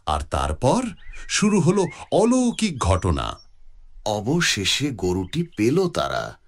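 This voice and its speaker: A man's voice: fairly low pitch, slow pace, full character voice. A man voicing a kindly old grandfather who tells moral stories expressively in a children's cartoon. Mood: suspenseful storytelling. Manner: expressive, instructive.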